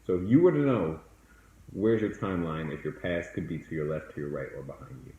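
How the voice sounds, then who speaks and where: A man with a low voice guides someone through a process in plain conversational steps. He is affirming and instructive as a coach and host. The room adds noticeable echo. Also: a little fast, dark tone, lo-fi sound. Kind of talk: conversational coaching, step-by-step guidance. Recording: echoey room.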